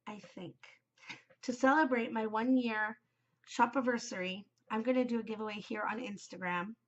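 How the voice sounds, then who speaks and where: A woman's voice: on the high side, fairly bright tone. Woman host, congested and worn voice, chatty and rambling, dull home recording. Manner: rambling, many ums, chatty. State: congested, voice shot from a cold. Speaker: knitting podcast host. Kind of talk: casual solo chat.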